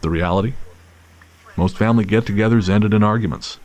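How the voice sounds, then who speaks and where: A man's voice: on the low side, a little fast, darkish tone. A man narrating a scripted audio drama as the storyteller.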